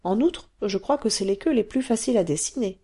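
Eager little cave girl, chatty and pleading, mellow tone, woman putting her on. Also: medium pitch, animated, a little fast, slightly rough, pitched above the performer's own voice. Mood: explaining. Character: excited cave girl, bossy and curious.

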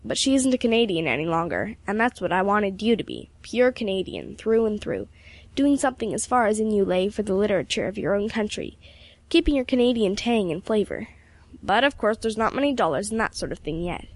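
A woman's voice: mid-range voice, animated, fairly quick, dry recording. Woman playing a cranky, grumbling old man. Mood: emphatic. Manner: grumbling, emphatic, declamatory. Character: cranky old rheumatic male mentor, gruff and opinionated.

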